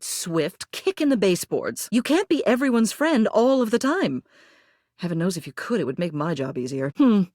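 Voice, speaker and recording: Prim game mayoress, slightly low voice, very expressive intonation, pompous, fussy, condescending. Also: female voice, no echo, clean studio sound. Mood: disapproving. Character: haughty, prim, meddling lady mayor of the household. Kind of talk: video game character acting.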